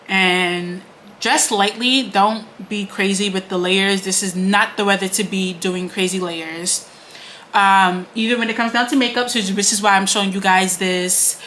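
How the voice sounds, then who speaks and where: A woman's voice: medium pitch, sharp tone, a little hiss or hum. A woman in her late twenties chatting casually at home while doing a makeup tutorial, with lots of "like" and "um" fillers. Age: late 20s. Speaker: beauty vlogger. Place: home, indoors.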